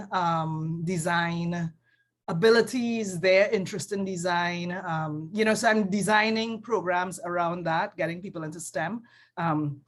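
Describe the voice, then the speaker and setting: A woman with a Trinidadian accent talks through her work on a video call. Her voice sits a little low, and she speaks thoughtfully, pausing often and correcting herself as she goes. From Trinidad and Tobago. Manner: thoughtful, hesitant, many ums and self-corrections. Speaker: design professor. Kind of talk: casual panel conversation.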